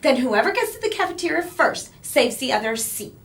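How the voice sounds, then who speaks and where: An excitable, fashion-loving schoolgirl from a children's storybook, as a woman reader puts her on. The pitch runs high and swoops widely. She gushes and exclaims brightly at everything. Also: fairly quick, exaggerated voice. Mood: excited. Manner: bright, exclaiming, squealing and gasping. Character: bubbly, gushing, fashion-loving new schoolgirl. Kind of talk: children's storybook read-aloud.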